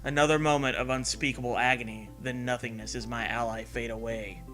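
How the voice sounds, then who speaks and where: A man's voice: mid-range voice, steady tone, brisk, a bit bright, faint music behind. A man reading a scary story as a narrator, with dramatic, earnest delivery. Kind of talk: dramatic scripted narration of a creepypasta.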